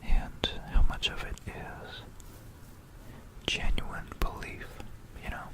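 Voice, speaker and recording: Slow, whispering ASMR storyteller. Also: poor audio. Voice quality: breathy unvoiced whisper. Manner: unhurried, pausing, repeating phrases softly. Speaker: ASMR video host. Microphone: close stereo binaural microphone.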